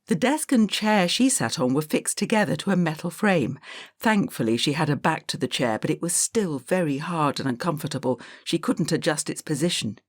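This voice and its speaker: A woman narrating a children's audiobook in a calm, measured storytelling manner. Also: sits low, dry recording, studio quality.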